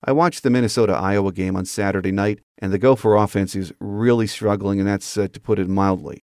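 A man's voice: fairly low pitch, steady tone, fairly quick, a little mellow, dry recording, clean studio sound. An American man hosting a sports podcast, delivering scripted commentary as a monologue. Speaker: podcast host.

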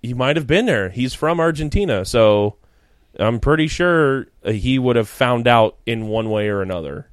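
A man in his late twenties co-hosting a casual chat, joking and animated, with lots of fillers. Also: mid-range voice, moderate pace, clean tone, no room sound, clean studio sound. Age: 20s. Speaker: podcast co-host.

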